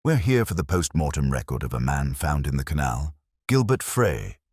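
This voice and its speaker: A keen, analytical Victorian detective, as a male narrator plays him, speaks in a very deep and animated voice. He is precise, inquisitive and coolly commanding, like a storybook sleuth giving orders to his companion. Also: moderate pace, slightly rough, no echo, studio quality, heavily acted. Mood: businesslike. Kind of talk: audiobook character dialogue.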